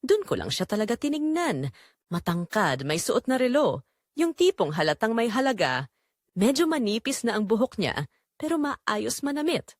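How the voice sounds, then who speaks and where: Young female barista narrator acted by a woman for romance audio drama: reflective, quietly romantic, very varied intonation, dry recording. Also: a little high, big pitch swings, moderate pace, no room sound. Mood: curious, observant. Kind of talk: first-person scripted audio drama narration.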